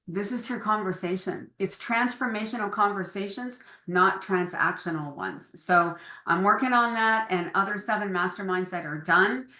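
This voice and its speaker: Enthusiastic bright woman coach, echoey room. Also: mid-range voice, normal speed, hardly any pauses. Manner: enthusiastic, promotional. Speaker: empowerment coach. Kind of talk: speaking as guest at an online networking event. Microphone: video call.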